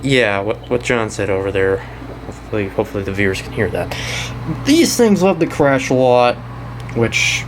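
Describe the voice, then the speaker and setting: A man's voice: medium pitch, poor audio. Rambling man hosting over light background noise. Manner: rambling and halting, trails off mid-thought. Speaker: video host and weather radio collector. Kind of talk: casual show-and-tell commentary.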